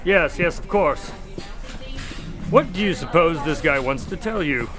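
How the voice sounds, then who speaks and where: An actor playing a polite, questioning doctor who is a detective's skeptical sidekick in an audio drama. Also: male voice, on the high side, animated, a little slow, over music. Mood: casual, agreeable. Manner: courteous, questioning.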